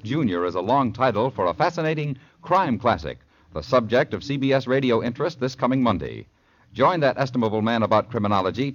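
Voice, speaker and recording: A man announcing in a formal, polished mid-century radio style, reading scripted program promotion. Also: medium pitch. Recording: dull old radio recording.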